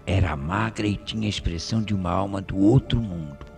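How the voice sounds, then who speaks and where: An actor playing an old country colonel with a Brazilian accent, spinning an eerie tale with folksy suspense in a radio mystery drama. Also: male voice, sits low, fairly quick, creaky, faint music behind. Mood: confiding, eerie. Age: older.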